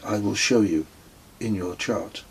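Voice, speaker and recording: A man voicing an earnest, tender male astrologer in a storybook, speaking gravely and deliberately. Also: fairly low pitch, slight background noise.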